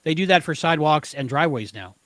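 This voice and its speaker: Bright-voiced man, offhand podcast chat. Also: on the high side, lively intonation, fast talker, dry recording, clean studio sound. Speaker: podcast co-host. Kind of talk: casual banter about a show.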